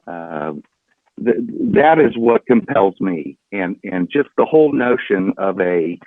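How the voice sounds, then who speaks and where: A middle-aged man talking earnestly and deliberately as a podcast guest in a casual interview. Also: low-pitched, animated, no echo. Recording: tinny, phone-like call audio.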